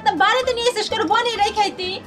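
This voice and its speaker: A woman's voice: very high voice, fairly even intonation, very fast. A woman playing an anxious, caring village mother in a children's fairy-tale film, fussing colloquially. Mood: fussing, kindly. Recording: music playing behind the voice.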